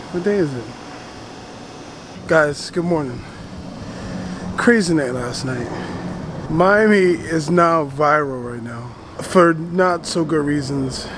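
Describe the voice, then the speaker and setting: A man in his thirties vlogging to a handheld camera at arm's length outside a house, chatty and excitable. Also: a little high. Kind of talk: casual vlog talk to camera. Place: outdoors at a house with a pool. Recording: noisy outdoor background.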